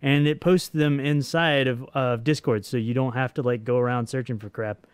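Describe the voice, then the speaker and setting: A man co-hosting a gaming channel, talking in an easygoing, halting way with many fillers and restarts. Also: medium pitch, steady tone, clean tone, no echo. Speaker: co-host of a gaming channel, programmer. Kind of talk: casual banter over gameplay.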